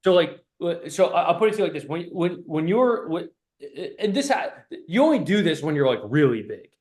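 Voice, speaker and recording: Blunt, higher-voiced man coaching casually. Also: big pitch swings, fairly quick, a little mellow. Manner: blunt, profane; emphatic, repetitive stop-start delivery. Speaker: business coach.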